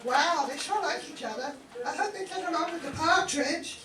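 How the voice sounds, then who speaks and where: A woman playing an excitable young daughter in an amateur comedic radio play, cooing and exclaiming over gifts. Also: high voice, normal speed, lightly acted. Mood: delighted, cooing. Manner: cooing, wide-eyed exclamations. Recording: roomy, distant mic, loud music behind.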